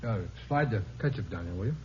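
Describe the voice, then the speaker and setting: A man's voice: lowish voice, lively intonation, quick pace, lightly acted. A man playing a sleazy, pushy peddler. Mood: wheedling. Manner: wheedling, pushy salesman. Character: sleazy male peddler of dirty books, pushy. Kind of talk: 1950s radio drama acting. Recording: dull old radio recording with hiss.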